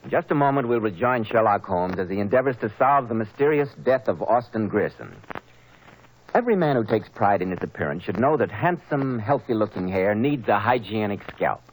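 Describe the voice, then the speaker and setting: Animated 1940s male radio announcer. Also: on the low side, a little fast, a little hiss or hum, rough recording. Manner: polished, emphatic salesmanship. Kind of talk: 1940s scripted sponsor announcement.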